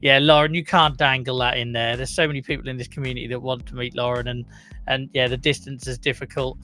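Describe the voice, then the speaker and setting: A young man with a croaky voice hosting a live chronic pain talk show, chatting casually to his viewers with many fillers and laughs. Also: mid-range voice, flat delivery, fast talker, bright, forceful tone.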